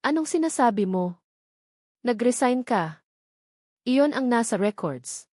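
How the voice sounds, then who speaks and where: A woman voicing a stunned, wounded male CEO in an audio drama, urgently and haltingly demanding answers. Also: a little high, expressive, a little mellow, no echo, clean studio sound, voice pushed up. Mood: bewildered.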